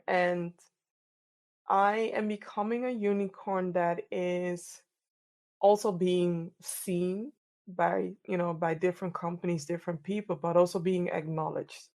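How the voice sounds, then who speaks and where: A woman's voice: on the low side, creaky, darkish tone. A middle-aged woman from the Netherlands, answering interview questions in an earnest, reflective way and often repeating words as she thinks. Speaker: podcast host and DEI coach.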